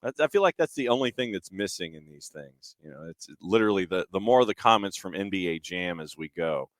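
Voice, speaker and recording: A man's voice: deep voice, animated, fairly quick, dry recording, studio quality. A man hosting a sports show, chatting casually and introducing match clips in a self-deprecating way. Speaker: sports talk show host.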